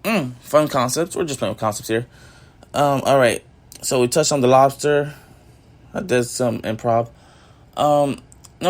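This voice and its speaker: Raspy young man rambling on a podcast. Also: medium pitch. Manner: rambling, filler-heavy, keeps saying "you know what I mean". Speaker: podcast host. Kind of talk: casual solo reflection.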